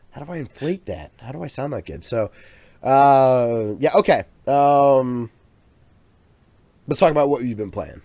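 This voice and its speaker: A man's voice: medium pitch, animated, slow, long pauses, mellow, no echo. A man co-hosting a podcast in casual chat, rambling along with plenty of restarts and fillers. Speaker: podcast co-host. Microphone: USB microphone.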